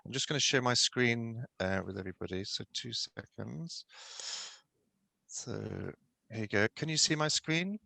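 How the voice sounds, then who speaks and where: A man's voice: mid-range voice, normal speed, creaky, bright, forceful tone, no echo. A British man giving a webinar talk over a video call, explaining step by step with many ums and restarts. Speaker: guest speaker, pharmacognosist. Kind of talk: webinar presentation.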